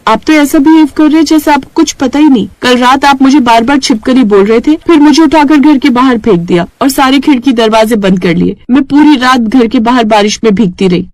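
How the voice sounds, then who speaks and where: A woman's voice: high voice, fairly quick, few pauses, no room sound, natural voice, poor audio. A woman voicing a bewildered, complaining young wife in a storybook tale, with a plaintive manner.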